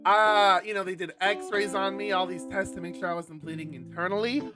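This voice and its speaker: A man livestreaming and reading a visual novel aloud, sweary and animated, with exasperated asides and guitar music behind him. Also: sits high, brisk. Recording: loud music under the voice. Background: plucked guitar game music.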